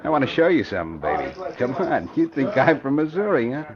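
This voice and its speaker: An actor playing a cocky, boastful gambling-club gangster in an old radio crime drama, with tough city slang delivery. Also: male voice, mid-range voice, sing-song, fairly quick, heavy background noise, close to an everyday voice. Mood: cocky, coaxing. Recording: muffled old radio recording, crowd murmur behind.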